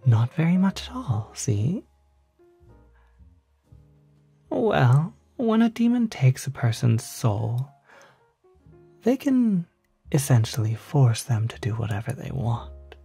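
A man playing a polite, quietly sinister demon concierge in an ASMR roleplay, speaking softly in half-whispers with gentle, hesitant persuasion. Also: medium pitch, sing-song, slow, no echo, soft background music, exaggerated voice. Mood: coaxing. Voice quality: soft, breathy, half-whispered.

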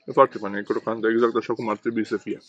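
A man's voice: lowish voice, muffled recording. A man in his thirties casually reviewing food outdoors, chatting with frequent fillers while he eats. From Romania. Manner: relaxed, chatty, many fillers while eating. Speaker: food vlogger. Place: outdoor table beside a main road. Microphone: camera on table in front. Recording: faint road noise outdoors. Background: passing traffic and outdoor ambience.

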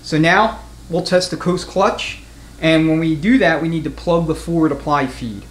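A man's voice: fairly high pitch, normal speed. A man giving a hands-on mechanic's tutorial in a matter-of-fact, conversational way. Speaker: transmission mechanic, instructor. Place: indoor garage workshop. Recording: echoey garage with clear background noise.

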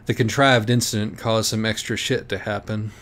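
A man's voice: on the low side, steady tone, moderate pace, fairly bright tone, slight background noise. A man narrating a parody audiobook storybook with mock-literary, dramatic phrasing.

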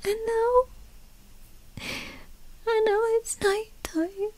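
A woman playing a young, bratty but timid female fox spirit in an ASMR roleplay, breathy and stammering. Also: extremely high pitch, steady tone, darkish tone, exaggerated voice. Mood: frightened, tearful. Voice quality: breathy, often whispery. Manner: halting, stammering, skittish. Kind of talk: ASMR roleplay, scripted character acting.

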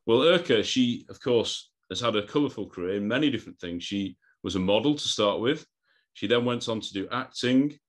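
A man's voice: fairly low pitch, expressive, brisk. Eager man hosting a podcast. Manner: eager, laughs between lines, repeats words. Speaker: podcast host. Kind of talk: podcast interview. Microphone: video call.

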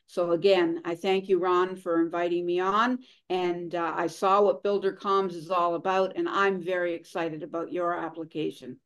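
A woman's voice: lowish voice, little pitch movement, normal speed, hardly any pauses, smooth. Earnest woman guest explaining steadily. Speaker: guest, consultant. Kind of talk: interview answers.

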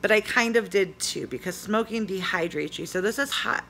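A hoarse woman in her forties chatting casually in a home vlog, reading product labels aloud and rambling with fillers. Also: mid-range voice, normal speed, a bit bright, a little hiss or hum. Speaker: vlogger. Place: small indoor room at home. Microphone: phone camera at arm's length.

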